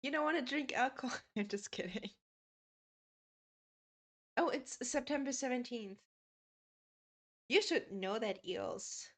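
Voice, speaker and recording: A young woman in her twenties chats slowly and easily with her live stream audience, her voice sitting a touch high. She giggles often and answers viewers' messages one by one in a relaxed, talkative way. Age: 20s. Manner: chatty, giggly, reads chat aloud. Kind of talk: casual chat with stream viewers.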